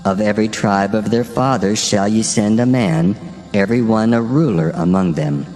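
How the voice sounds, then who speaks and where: An actor playing God as a grave, commanding, deliberate male voice in a dramatized scripture reading. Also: fairly low pitch, lightly acted. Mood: solemn, commanding. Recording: long cavernous reverb, loud music behind.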